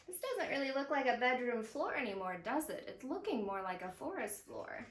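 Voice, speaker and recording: A woman in her thirties reading a picture book aloud for young children, with deliberate, expressive phrasing. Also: a little high. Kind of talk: children's storytime read-aloud. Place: living room at home, indoors. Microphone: camera a few steps away. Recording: roomy echo of a home interior.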